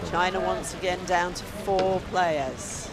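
A man's voice: sits high, slow. A man giving live match commentary as a sports commentator. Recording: loud crowd and outdoor ambient noise. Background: noisy outdoor venue ambience, crowd.

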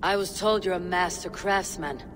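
Woman acting low-pitched, brooding Viking warrior. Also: background noise, close to an everyday voice. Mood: grave, earnest. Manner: earnest, measured, grave. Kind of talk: dramatic video game acting. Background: music.

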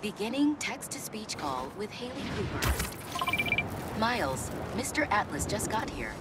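A woman playing a brisk text-to-speech phone voice that relays messages in a video game. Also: mid-range voice, sing-song, distant mic, very noisy.

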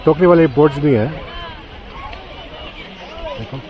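A man's voice: a little high, measured pace, crowd noise. A man in his thirties from Bangalore, chatting casually as he films his travel vlog at a river beach and showing viewers around. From Bangalore, India. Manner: chatty, mixes English into Hindi, points things out to viewers. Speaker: travel vlogger. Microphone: handheld selfie camera at arm's length.

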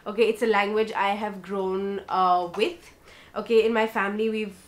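A woman's voice: medium pitch, little pitch movement, moderate pace. A young woman from India with a South Indian accent, chatting casually through a makeup tutorial in her bedroom and slipping in Hindi. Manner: chatty and warm, mixing English with Hindi. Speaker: beauty vlogger. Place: bedroom, indoors.

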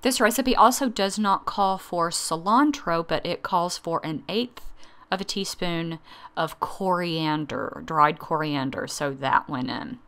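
A woman's voice: on the low side, unhurried, fairly bright tone. A woman in her thirties hosting a cooking vlog, narrating plainly and then chatting over a tasting at a dining table. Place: dining room in a friend's house.

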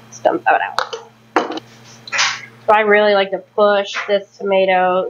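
A woman's voice: mid-range voice, lo-fi sound, a little hiss or hum. A woman in her thirties chatting casually and rambling as she cooks in her home kitchen, correcting herself as she goes. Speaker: home cooking vlogger. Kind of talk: casual chat while cooking.

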